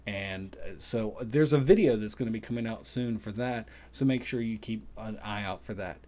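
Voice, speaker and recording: A man's voice: on the low side, moderate pace, a little mellow. A man hosting a vlog, talking conversationally to the viewer and giving advice, repeating his points for emphasis. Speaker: video host. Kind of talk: casual advisory vlog talk to camera.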